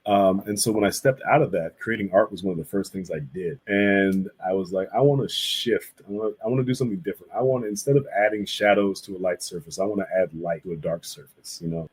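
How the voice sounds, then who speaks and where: A man's voice: deep voice, fairly quick, hardly any pauses, a little mellow, husky, low-quality recording. A man speaking as a guest artist in a casual interview, telling stories in an informal, reflective way. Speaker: guest, visual artist and illustrator.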